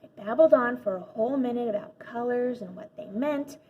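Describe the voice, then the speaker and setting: Expressive woman reading a storybook, higher voice. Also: fairly quick. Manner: expressive, dramatic emphasis. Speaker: teacher reading a children's book aloud. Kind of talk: animated storybook read-aloud. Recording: dull lo-fi audio with faint noise.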